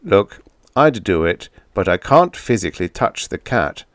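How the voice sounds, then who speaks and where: A man voicing a calm, blunt visitor from the future who speaks in a flat, firm, matter-of-fact way. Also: fairly low pitch, measured pace, no echo, clean studio sound.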